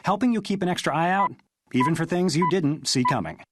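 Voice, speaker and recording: A man's voice: sits high, animated, a little fast, noisy background. A man narrating a scripted car commercial. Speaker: commercial announcer. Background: music bed.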